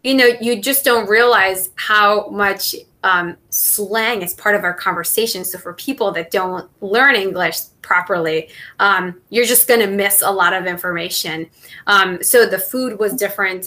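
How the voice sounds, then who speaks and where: A woman in her thirties from southern Mexico whose first language is Spanish, talking through an interview with a bright, clear tone. She thinks aloud, pausing on fillers and restarting her sentences. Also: mid-range voice. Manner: thoughtful, many fillers and restarts. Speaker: guest, NASA engineer. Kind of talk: conversational interview answers.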